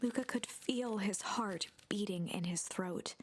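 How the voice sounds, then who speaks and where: A woman giving scripted storybook narration in a measured, dramatic, sometimes breathy way. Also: mid-range voice.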